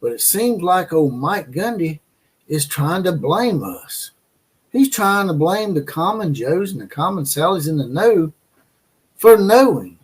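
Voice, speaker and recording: A man's voice: high-pitched, big pitch swings, a little slow, husky. A man hosting a college football commentary channel, ranting with crude, mocking opinions and frequent fillers and restarts.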